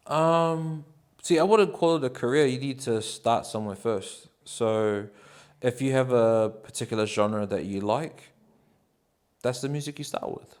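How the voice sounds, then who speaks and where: A man, answering interview questions in a relaxed, rambling way with many ums and likes. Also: mid-range voice, a little slow, clean tone. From New Zealand. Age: 30s.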